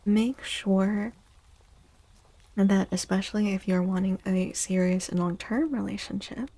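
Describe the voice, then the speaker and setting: Slow, breathy, soft-spoken woman doing ASMR. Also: mid-range voice. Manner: gentle, reassuring, unhurried. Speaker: livestream host and tarot reader. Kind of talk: soft-spoken ASMR tarot reading, near whisper.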